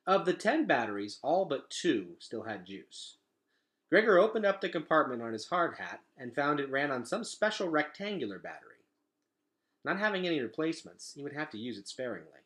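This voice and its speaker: A man's voice: fairly high pitch, very animated, fairly quick, a bit bright. A man reading a storybook aloud expressively, like a teacher.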